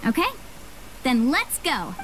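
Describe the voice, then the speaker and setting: A woman's voice: high voice, very animated, slow, very noisy, heavily acted. A woman playing an upbeat, confident young guide in a video game, friendly and encouraging.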